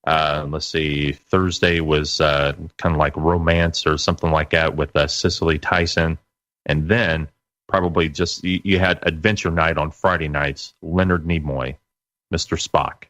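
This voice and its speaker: A man with a very low voice chats as a guest on a radio show. He speaks casually and haltingly, thinking aloud, with a dry sound. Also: steady tone, a bit bright, dry recording. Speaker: interview guest, internet radio broadcaster. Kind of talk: casual conversational recollection.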